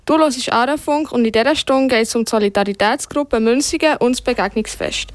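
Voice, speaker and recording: A woman's voice: on the high side, a little fast. A woman hosting a community radio interview, giving the station links and asking plain, friendly questions. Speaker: radio host.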